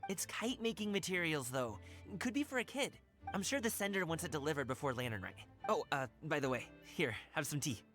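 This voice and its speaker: Lively, friendly young male courier. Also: fairly high pitch, a little fast, faint music behind. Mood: helpful. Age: young adult. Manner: breezy, informal, upbeat. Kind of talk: video game voice acting.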